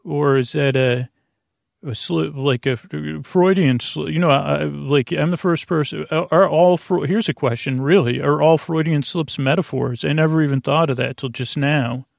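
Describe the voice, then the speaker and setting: Creaky, meandering male bedtime podcaster. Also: on the high side, dry recording, clean studio sound. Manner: hesitant, halting, drifting into tangents and self-interruptions; lulling, soothing. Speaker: podcast host. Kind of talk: rambling, meandering bedtime monologue to help listeners sleep.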